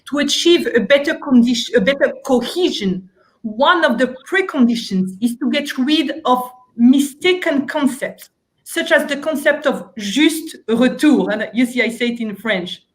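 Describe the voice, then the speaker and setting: A woman makes her case on a policy panel, her voice sitting high. She argues earnestly and persuasively, stumbling and restarting as she builds her point. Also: expressive, measured pace, low-quality recording. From Romania. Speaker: member of European Parliament, panelist. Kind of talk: panel discussion argument.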